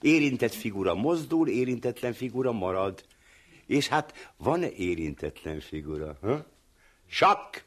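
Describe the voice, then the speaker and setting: A man's voice: mid-range voice, big pitch swings. An actor playing a fussy male chess player who lectures his opponent in a 1970s radio comedy sketch, mocking and theatrical. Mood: gleeful. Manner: mocking, theatrical, drawn-out phrases.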